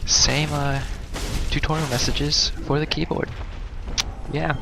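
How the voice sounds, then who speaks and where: A man's voice: a little high, flat delivery, takes it slowly, gravelly. A man giving casual let's play commentary with wry, self-deprecating muttered asides, recorded on a mic too close to his mouth. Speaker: gaming video host. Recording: noisy close mic with breath sounds.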